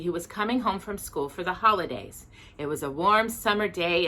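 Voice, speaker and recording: A woman reading a children's book aloud in an expressive, deliberate way with drawn-out emphasis. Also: medium pitch. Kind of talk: expressive read-aloud children's story narration.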